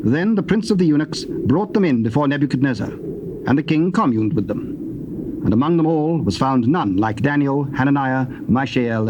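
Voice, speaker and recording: A man's voice: medium pitch, muffled recording. Solemn male scripture narrator, noisy tape. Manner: measured, formal, solemn. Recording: noisy old cassette.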